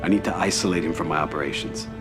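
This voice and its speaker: An actor playing a middle-aged American mafia boss in a game cutscene, cold and commanding, speaking in measured, terse phrases. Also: male voice, extremely low pitch, music in the background. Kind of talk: video game cutscene acting.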